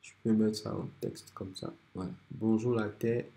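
A man with a cold, calmly walking through a software design tutorial step by step over a screen recording. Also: fairly low pitch, moderate pace, gravelly, a little hiss or hum. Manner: relaxed, step-by-step explaining with many restarts. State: has a cold.

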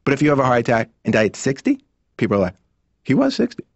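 A man's voice: medium pitch, wildly swooping pitch, quick pace, vocal fry, husky, dry recording, heavily acted. A man in his thirties playing a neurotic, worried cartoon patient, delivering emphatic stand-up style monologue. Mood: anxious, incredulous. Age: 30s. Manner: emphatic, worried, mimics others. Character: neurotic thirty-nine-year-old therapy patient, anxious about aging. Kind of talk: stand-up monologue in an animated sitcom. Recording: dull, muffled cartoon audio.

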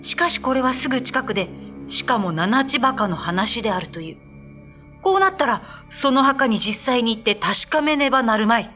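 A woman playing a stern, resolute old male Buddhist priest in a radio ghost drama, with commanding, deliberate, old-fashioned speech. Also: fairly high pitch, animated, sharp tone, soft background music. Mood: grave, resolute.

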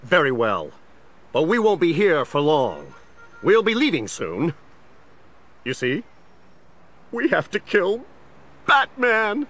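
An actor playing an obsessive, unhinged crime boss with a split personality, frantic and menacing, in an audio drama. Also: male voice, on the high side, huge pitch swings, takes it slowly, long pauses, a bit bright, exaggerated voice. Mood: sinister. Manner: frantic, menacing, calls himself "we".

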